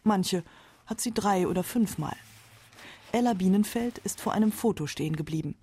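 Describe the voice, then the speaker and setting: A woman's voice: mid-range voice, normal speed, dark tone, breathy edge. A woman narrating a radio feature from a script. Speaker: documentary narrator.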